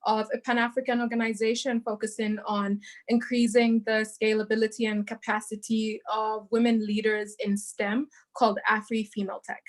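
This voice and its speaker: A woman's voice: on the high side, little pitch movement, normal speed, hardly any pauses. A young woman, answering interview questions with light self-deprecating humor. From Zambia. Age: young adult. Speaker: guest entrepreneur.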